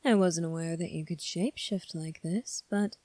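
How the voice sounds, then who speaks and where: A woman playing a calm, sly young prince in an ASMR roleplay, speaking in a measured, formal, teasing way. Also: on the low side, dry recording, natural voice. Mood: smooth, teasing. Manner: measured, formal, coolly probing. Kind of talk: ASMR roleplay audio drama.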